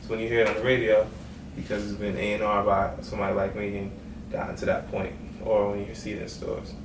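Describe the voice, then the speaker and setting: A man's voice: fairly low pitch, completely flat delivery, fast. A man from Trinidad and Tobago, raised in Brooklyn, a music executive talking casually in an interview with a laid-back manner. He is recorded from a distant mic in an echoey room with noise. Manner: laid-back, conversational, many "you know what I mean".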